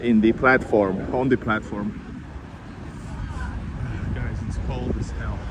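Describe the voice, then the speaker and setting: A man's voice: lowish voice, fairly even intonation, takes it slowly. A man whose first language is Russian, chatting casually to viewers while livestreaming a walk outdoors on a windy city street. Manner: chatty, addresses viewers as guys, rambling asides. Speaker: livestreaming travel vlogger. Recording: loud outdoor traffic noise. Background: loud traffic and street noise.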